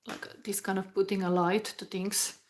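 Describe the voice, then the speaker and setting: A woman in her forties, giving a live tarot reading in a halting, musing way with soft whispered asides. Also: mid-range voice, flat delivery, slight background noise. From Finland. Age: 40s. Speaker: tarot reader.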